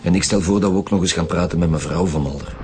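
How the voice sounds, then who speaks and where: A man's voice: low-pitched, racing, darkish tone, soft background music, close to an everyday voice. A man with a Flemish accent playing a direct, businesslike police detective in a radio drama. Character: brisk, businesslike male police detective in charge.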